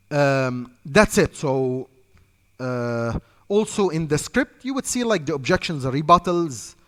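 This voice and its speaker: Egyptian guy coaching sales reps, kinda high voice, repeats himself a lot, big echoey room. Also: very animated, slow pace, pauses often. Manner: repetitive, emphatic coaching, talks off the cuff. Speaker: sales trainer. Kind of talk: instructional talk to trainees. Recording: long hall reverb.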